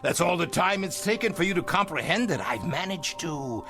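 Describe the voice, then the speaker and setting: An actor playing a ruthless self-made business tycoon in an audio drama, drawing out phrases with mocking relish. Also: male voice, on the high side, animated, moderate pace, music in the background. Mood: gloating, menacing.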